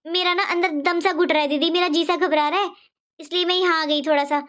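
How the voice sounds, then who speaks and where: A performer voicing a gentle, apologetic, unwell young pregnant woman in a cartoon moral story, speaking meekly. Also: very high voice, steady tone, brisk. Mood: meek, uneasy. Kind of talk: animated moral story dialogue.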